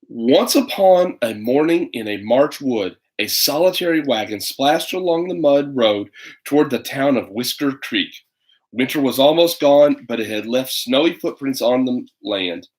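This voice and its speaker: Casting: man in his thirties, casual livestream storybook reader for kids, mid-pitched voice, hesitant and playful. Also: expressive, unhurried, low-quality recording. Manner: rambling, hesitant, playful asides. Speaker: parent reading a picture book for children online. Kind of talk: casual livestream chat and children's storybook read-aloud.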